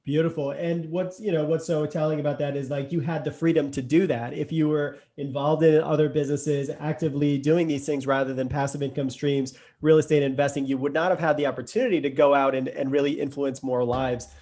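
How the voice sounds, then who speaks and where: A man's voice: on the high side, little pitch movement, fairly quick, hardly any pauses, darkish tone. A man hosting a podcast interview in casual conversation, affirming his guest and summing up his points. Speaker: podcast host.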